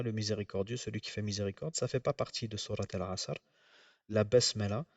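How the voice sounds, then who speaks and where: A man giving a religious lecture, explaining in a measured way with frequent pauses. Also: lowish voice, dry recording, far from the mic. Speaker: religious teacher.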